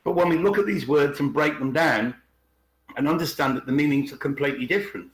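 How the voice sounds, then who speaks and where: Male podcast co-host, higher-than-average pitch, conversational and emphatic, roomy online-call sound. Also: brisk. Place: indoors on an online group call.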